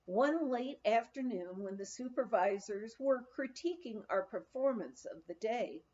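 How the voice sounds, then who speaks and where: A woman reads a lighthearted memoir in a fairly high voice. Her delivery is expressive, with very animated melody and deliberate phrasing. The recording sounds a little muffled and lo-fi. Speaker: audiobook reader. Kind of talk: narrated reading of a humorous memoir.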